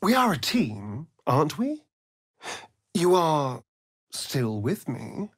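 A man's voice: on the high side, big pitch swings, slow, husky, no echo, studio quality, heavily acted. A man playing a sardonic, theatrical male vampire rogue in a video game, with an arch, drawling delivery. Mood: earnest. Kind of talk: video game character acting.

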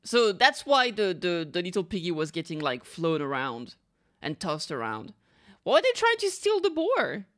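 A young woman streamer giving casual, expressive, joking reaction commentary full of slang. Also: fairly low pitch, normal speed.

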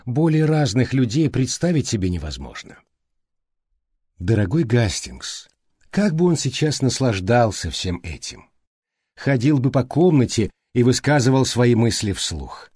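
Man reading a courteous, mellow-voiced detective. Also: medium pitch, very animated, husky, dry recording, studio quality. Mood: wistful. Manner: polite, deliberate. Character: thoughtful, courteous, exacting male detective. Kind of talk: audiobook character reading.

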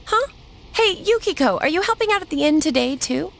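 A high-pitched, lively teenage schoolgirl from an anime-style game. She is outspoken and curious, with expressive swings in her informal chatter. There is faint noise behind her. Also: fairly quick, creaky, exaggerated voice. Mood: excited, puzzled.

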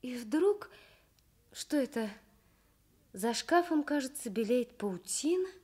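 Higher-pitched woman storyteller, expressive. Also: takes it slowly, slightly rough. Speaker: radio storyteller. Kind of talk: expressive scripted children's story narration, 1960s Soviet radio.

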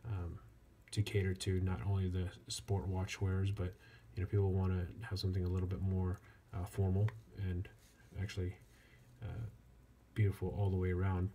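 Low, flat-toned man reviewing casually. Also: moderate pace. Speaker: watch reviewer. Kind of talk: casual hands-on product review. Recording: clear steady background noise.

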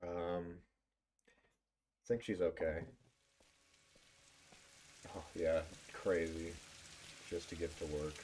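Male streamer, lowish and unhurried, casual sweary live reactions over game music. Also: little pitch movement, a little mellow, slight background noise, poor audio. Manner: muttering exclamations, swearing freely. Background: game music and effects.

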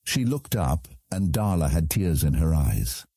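A man with a husky voice reading scripted fantasy story narration as an audiobook narrator. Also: extremely low pitch, very animated, unhurried, dark tone, dry recording, studio quality.

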